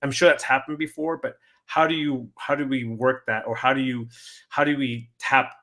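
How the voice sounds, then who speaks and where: A man hosting an interview, talking casually in a rambling, halting way with many restarts. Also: mid-range voice, fairly even intonation, pushed and bright. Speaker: podcast host.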